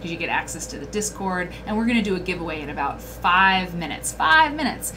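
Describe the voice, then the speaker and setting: A woman's voice: lowish voice, sing-song, a little fast, music in the background. A woman hosting a casual livestream chat from a small room, reading viewer comments aloud and talking to them directly. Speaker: host.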